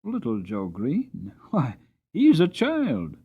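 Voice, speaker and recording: A man's voice: a little high, wildly swooping pitch, takes it slowly, husky, exaggerated voice. Young stable lad, man doing him, skeptical and sincere, sounds like a phone line. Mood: doubtful. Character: young male stable groom, devoted and doubtful. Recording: tinny narrowband recording.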